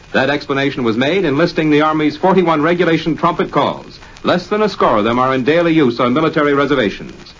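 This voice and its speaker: A man's voice: on the high side, fairly bright tone. An American man reading scripted 1940s radio news as a staff announcer. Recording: muffled vintage 1940s radio broadcast.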